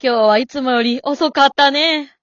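Radio drama grandmother, female amateur: elderly, high-pitched, teasing and doting, old-folk phrasing, close dry sound. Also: normal speed, fairly bright tone, dry recording, muffled recording. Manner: old-folk drawl with hearty chuckles.

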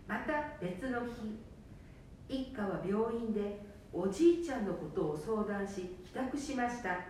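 Woman, drama narrator, calm storytelling, very echoey room. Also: measured pace. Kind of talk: scripted narration. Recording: echoey room, distant mic, faint rumble.

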